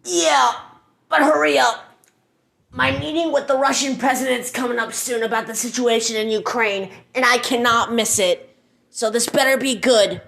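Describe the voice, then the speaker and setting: A woman playing an impatient male American president in an amateur radio-style drama, with a creaky voice. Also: on the high side, steady tone, normal speed, fairly bright tone.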